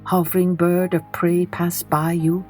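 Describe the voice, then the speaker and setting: A woman reading poems and stories aloud for children in an unhurried, dramatic storytelling style, with phrases that trail softly away. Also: lowish voice, level tone, faint music behind. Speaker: children's storytelling podcast host and reader.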